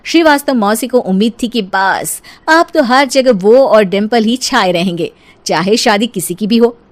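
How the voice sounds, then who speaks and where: ऊँचे सुर वाली महिला कथावाचक. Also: female voice, a little high, moderate pace. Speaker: storyteller. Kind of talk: scripted comic cartoon story narration.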